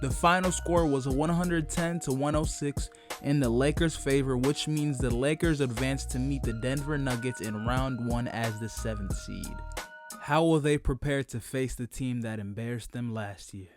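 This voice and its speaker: A man's voice: mid-range voice, moderate pace, music in the background. A man hosting a comedic sports recap, narrating in profane slang with a mock-dramatic streak. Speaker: sports recap video host. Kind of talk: scripted comedic sports commentary.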